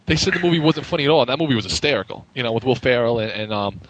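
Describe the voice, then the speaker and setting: A man co-hosting a podcast in casual banter, blunt and animated, laughing easily. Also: mid-range voice, very fast, a little hiss or hum, low-quality recording. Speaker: podcast co-host.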